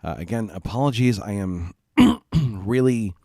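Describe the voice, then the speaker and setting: A congested man with a stuffy nose talks slowly and loosely while hosting his live stream, apologizing and trailing off as he goes. His voice sits on the low side, and the sound is dry and close. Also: expressive, mellow, no room sound. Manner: rambling and apologetic, many fillers and restarts. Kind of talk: casual live-stream chat. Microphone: home streaming mic.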